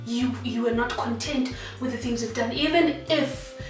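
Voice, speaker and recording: A woman's voice: fairly high pitch, moderate pace. A woman from Zimbabwe hosting a solo sit-down talk, speaking earnestly and encouragingly, often asking rhetorical questions. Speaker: talk show host. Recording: very echoey room, distant mic, faint music.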